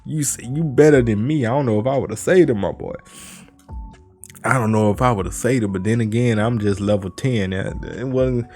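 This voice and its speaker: A man giving a casual, slangy live reaction, animated and exclamatory, swearing and repeating himself. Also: mid-range voice. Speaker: YouTube reaction host.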